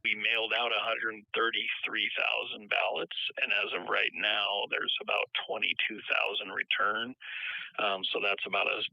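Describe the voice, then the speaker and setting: A man with a gravelly, flat, fairly low voice speaks as an official in an interview. He has an American accent, and the audio is slightly muffled and lo-fi. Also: normal speed, no echo. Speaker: county auditor. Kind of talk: spontaneous interview remarks. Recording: dull, band-limited interview audio.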